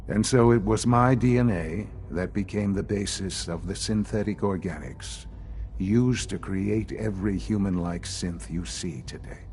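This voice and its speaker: Game role: aged male science director, low-pitched, calm, formal and grave, music underneath. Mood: solemn, reassuring. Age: older. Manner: measured, formal, gravely earnest. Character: elderly calm male leader of secretive science institute. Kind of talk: video game dialogue acting.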